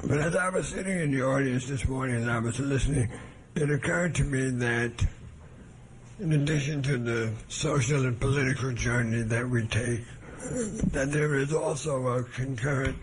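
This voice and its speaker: An older man with a hoarse voice giving an earnest, measured speech to an audience, with some halting restarts. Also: medium pitch, a little hiss or hum, low-quality recording. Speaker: keynote speaker. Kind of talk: formal speech at an event. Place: meeting hall with an audience.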